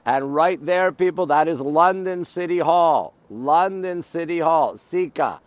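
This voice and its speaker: Middle-aged man, North American accent, fairly high pitch, casual streamer chat, short repeated exclamations, outdoors. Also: measured pace, no room sound. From Montreal, Canada. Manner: exclamatory, repetitive, greeting viewers in short bursts. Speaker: live-stream host showing city sights. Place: outdoors on an urban riverside.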